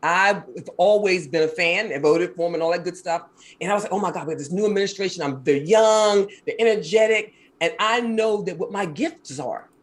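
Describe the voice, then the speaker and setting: A woman in her fifties from Maryland with a deep, husky voice, talking fast and animatedly as an interview guest. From Maryland, USA. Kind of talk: casual interview chat.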